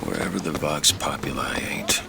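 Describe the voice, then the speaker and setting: Low-voiced, world-weary private eye. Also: male voice, normal speed, gravelly. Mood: guarded. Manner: terse, plain-spoken, folksy. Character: gruff, weary male private investigator in 1912. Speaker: video game protagonist. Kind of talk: cinematic game voice acting. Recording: game audio with loud ambient noise.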